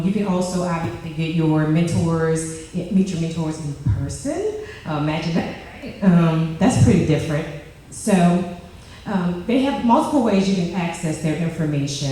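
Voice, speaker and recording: A woman with a loud voice presenting a project in a chatty way, restarting her sentences often. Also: lowish voice, a little hiss or hum. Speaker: team presenter. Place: large echoey meeting room. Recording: echoey room from far off.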